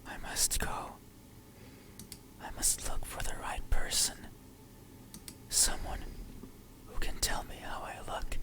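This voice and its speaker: Slow, whispering eerie stranger, voiced by an actor. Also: close to an everyday voice. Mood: mournful farewell. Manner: slow, hushed, deliberate. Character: eerie whispering stranger, cursed, menacing yet softly sorrowful. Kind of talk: whispered horror game dialogue.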